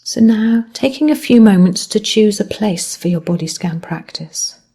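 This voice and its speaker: A woman teaches mindfulness through a guided body scan. Her voice is soft-edged and mellow, with a husky, breathy grain. She delivers each phrase gently and with measured care, letting the endings fall away softly. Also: mid-range voice, animated.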